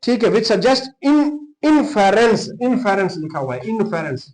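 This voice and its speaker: A man's voice: high voice, sing-song, very slow, a little mellow, rough recording. A man with a Pakistani accent lecturing as a teacher, repeating key points emphatically and mixing in English terms. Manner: repetitive, emphatic, checks understanding and spells out key terms. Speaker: law lecturer for police exam candidates. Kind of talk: teaching a lesson, Urdu mixed with English legal terms.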